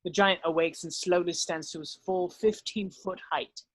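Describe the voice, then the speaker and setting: Higher-voiced man narrating a script, dry sound. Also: fairly even intonation, moderate pace, dry recording. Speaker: stage-direction narrator.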